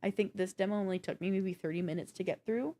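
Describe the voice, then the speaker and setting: A woman co-hosting a podcast in casual gaming chat, telling things in an animated, self-deprecating way. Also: medium pitch, quick pace. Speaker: podcast co-host.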